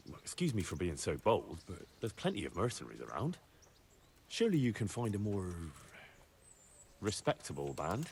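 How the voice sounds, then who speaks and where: A man's voice: sits low, huge pitch swings, moderate pace, gravelly, slight background noise, full character voice. A man playing an earnest, deferential young squire in a medieval video game, politely asking questions. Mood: curious, doubtful. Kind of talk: scripted video game dialogue.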